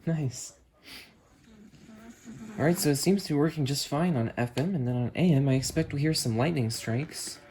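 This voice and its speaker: A man's voice: on the high side, normal speed, long pauses, a little mellow. A man hosting an unboxing video, talking casually as he thinks aloud while working the controls, recorded in a room at home. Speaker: host. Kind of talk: casual product demo narration.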